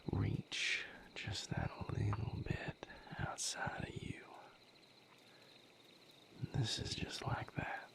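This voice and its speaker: A man playing a gentle, patient vampire mate in an intimate audio roleplay, coaxing softly in a breathy, gravelly whisper. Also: fairly low pitch, exaggerated voice. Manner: soothing, coaxing, unhurried guidance.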